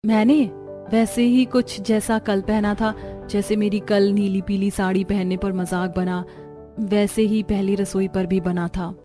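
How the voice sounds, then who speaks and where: A woman's voice: medium pitch, level tone, a little slow, warm tone, faint music behind, lightly acted. A woman voicing a meek, simple young daughter-in-law in a cartoon, easily hurt. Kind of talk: Hindi cartoon voice acting.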